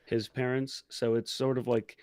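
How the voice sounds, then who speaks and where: Medium-pitched man, dry close sound. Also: flat delivery, moderate pace, darkish tone, no room sound. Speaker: co-host of a pop culture discussion show. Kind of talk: casual chat, measured counterpoints.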